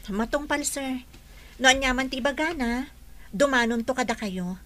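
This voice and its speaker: A man's voice: very high voice, normal speed, bright, forceful tone, pitched above the performer's own voice. A man voicing a timid young housemaid who answers meekly and deferentially in a radio drama. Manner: meek, deferential, calls others sir.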